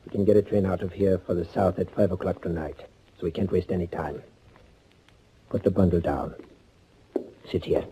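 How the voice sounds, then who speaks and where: An actor playing a grim, commanding man on an undercover mission, terse and deliberate, in 1940s radio thriller style. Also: fairly even intonation, slight background noise, low-quality recording. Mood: grim, controlled. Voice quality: low, dark, husky. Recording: old 1940s radio recording.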